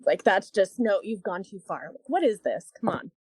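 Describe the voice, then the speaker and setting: A woman's voice: medium pitch, big pitch swings, moderate pace, darkish tone, breathy edge, no echo. A woman opera singer talking earnestly and emphatically as a podcast guest. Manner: earnest and emphatic, rising to indignation. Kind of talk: casual podcast interview.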